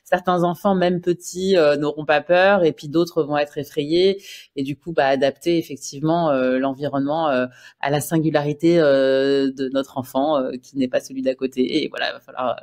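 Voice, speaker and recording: A woman's voice: sits low, steady tone, moderate pace, darkish tone. A woman co-hosting a parenting podcast, explaining in a thoughtful, hesitant way with many fillers. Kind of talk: casual podcast chat.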